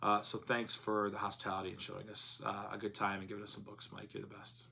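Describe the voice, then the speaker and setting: A man with a hoarse voice chatting casually in a vlog, rambling with plenty of fillers. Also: lowish voice, monotone, quick pace, pushed and bright, slight background noise. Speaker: comics vlogger.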